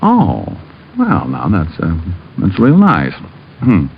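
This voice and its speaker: A man's voice: sits low, huge pitch swings, slow, vocal fry, a little hiss or hum, exaggerated voice. A man playing a wry, easygoing private detective, wisecracking and narrating in 1950s radio drama style. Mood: relaxed. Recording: dull old radio recording, music behind.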